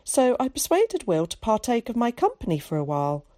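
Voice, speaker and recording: A woman's voice: a little high, wildly swooping pitch, fairly quick, dark tone, no echo, clean studio sound, voice pushed up. A woman voicing a voluble, well-spoken young boy in a storybook reading. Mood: chatty. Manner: cultured, voluble.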